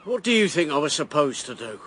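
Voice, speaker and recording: Defiant male candidate, blunt, combative, forceful bright tone, lively swings, radio drama. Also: on the high side, brisk, breathy edge, heavily acted. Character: brash, defiant, self-regarding male election candidate.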